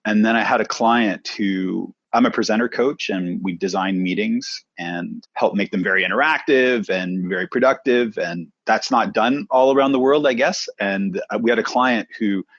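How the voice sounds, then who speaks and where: A middle-aged man from Canada, a presenter coach telling his career story in a relaxed, thoughtful interview. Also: fairly low pitch, normal speed, hardly any pauses, no room sound, lo-fi sound.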